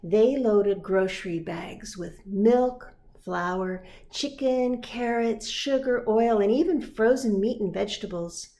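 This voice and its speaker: A woman narrates a children's story with expression. Her voice is medium in pitch. She reads slowly and deliberately, as a pastor might read to young listeners. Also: warm tone. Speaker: pastor reading a children's book.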